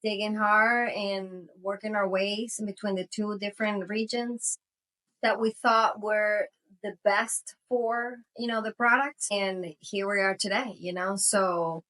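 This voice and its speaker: A woman's voice: medium pitch, steady tone, measured pace, sharp tone, poor audio. A Venezuelan-born woman, a native Spanish speaker in her forties, telling her story casually in an interview, full of "you know" and light laughs. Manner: rambling, many "you know" fillers, laughs often. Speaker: tequila brand co-founder, guest.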